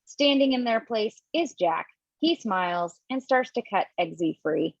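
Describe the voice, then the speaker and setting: A woman reading the stage directions in an amateur table read, brisk and quick to laugh at her own slips. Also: medium pitch, lively intonation, gravelly, no echo, dull audio. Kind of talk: amateur table read over video call.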